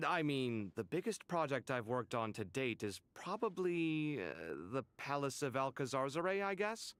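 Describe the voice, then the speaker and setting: A man voices a dramatic young architect in a video game. He is proud yet fretful, theatrical in delivery, and his pitch leaps about expressively. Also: animated, normal speed, fairly bright tone, lightly acted. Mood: anxious.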